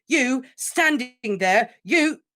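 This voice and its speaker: Teenage boy wizard played by an audiobook reader, raging, yelling, high and loud. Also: expressive, normal speed, close to an everyday voice. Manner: shouting, shaking with rage. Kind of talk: audiobook character reading.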